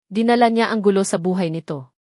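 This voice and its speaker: A woman's voice: medium pitch, quick pace, dark tone, dry recording, studio quality. A woman reading dramatic scripted narration of a love story as a storyteller.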